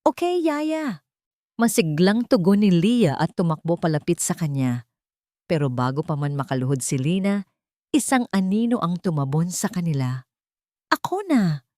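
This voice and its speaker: A woman reading a scripted dramatic story in a solemn, emotive manner with deliberate pauses. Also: sits low, animated, dark tone, no echo, studio quality. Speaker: audio drama narrator.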